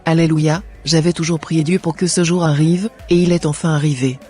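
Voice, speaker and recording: Man acting high-voiced earnest churchwoman. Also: steady tone, a little fast, a little mellow, soft background music, close to an everyday voice. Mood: grateful, joyful. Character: devout, soft-spoken married churchwoman, humble and earnest.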